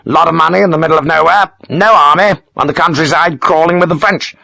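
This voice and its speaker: A man voicing a gruff, pious older army major who talks in curt, self-important bursts. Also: on the high side, a little fast, sharp tone, dry recording, pitched above the performer's own voice. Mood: lecturing. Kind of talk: audiobook character voice.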